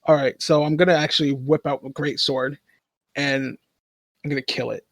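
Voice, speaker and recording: Guy w a highish voice just chatting through his turn in a tabletop game, really dry close sound. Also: steady tone, moderate pace, dry recording. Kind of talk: casual game-table chat.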